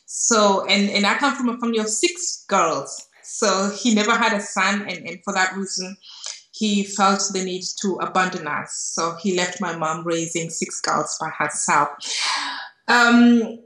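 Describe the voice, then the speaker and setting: A woman with a Kenyan accent shares personal memories as an interview guest. She sits at a medium pitch and leans on repeated words for emphasis. The sound is a roomy video call. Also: unhurried, pushed and bright. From Kenya, grew up in Nairobi. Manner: reflective, emphatic repetition. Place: small indoor room on a video call. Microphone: earphone headset.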